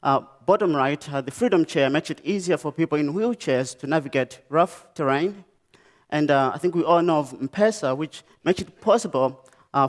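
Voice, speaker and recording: A young man from Malawi with a Malawian accent, giving a conference talk about his design work, pausing often with "um" and "uh". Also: on the high side. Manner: halting, many "um" and "uh" pauses and restarts. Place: lecture stage.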